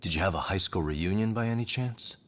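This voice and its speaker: An actor playing a cool, persistent private detective in an English-dubbed game drama, questioning in a deliberate way. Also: male voice, low voice, a bit bright. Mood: probing, calm.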